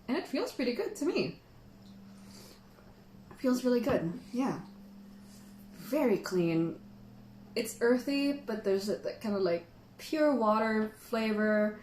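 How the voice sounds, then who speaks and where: A woman's voice: medium pitch, a little hiss or hum. Chatty woman in an echoey room. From Philippines. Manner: chatty, laughs often, reads out viewer comments. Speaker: tea livestream host. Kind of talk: casual livestream chat while tasting tea.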